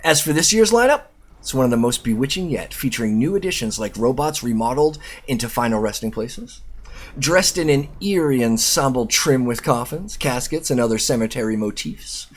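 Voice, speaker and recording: Husky man reading aloud casually. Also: mid-range voice, animated, a little fast. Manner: informal, self-interrupting, sweary. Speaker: YouTube host and reviewer. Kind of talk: casual read-aloud of an article with asides.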